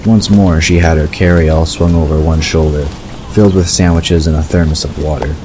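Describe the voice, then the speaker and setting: Very deep-voiced male story narrator. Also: fairly even intonation, normal speed. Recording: clear background ambience noise.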